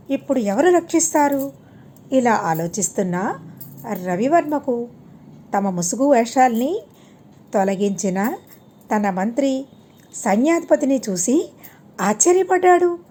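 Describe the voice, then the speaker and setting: Lively, higher-pitched woman telling moral stories. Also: a little slow, pauses often. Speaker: storyteller. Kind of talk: scripted moral story audiobook narration.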